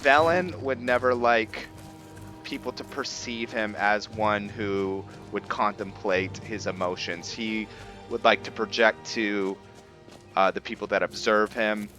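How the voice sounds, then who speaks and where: A man giving casual, reflective commentary over a roleplay video game, thinking aloud in a halting way. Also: on the low side, bright, forceful tone, faint music behind. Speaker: gaming host.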